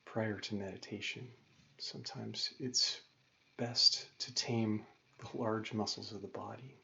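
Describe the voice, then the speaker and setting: A man leading a guided meditation as a yoga teacher, calm and gentle, giving measured instructions with pauses. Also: on the low side, vocal fry. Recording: dull lo-fi recording with faint noise.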